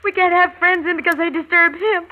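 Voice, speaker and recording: An actress playing an anxious, worn-out young wife, pleading and fretful, in an old radio drama. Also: female voice, extremely high pitch, steady tone, a little fast. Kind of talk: 1940s radio acting. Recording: dull old radio broadcast with hiss.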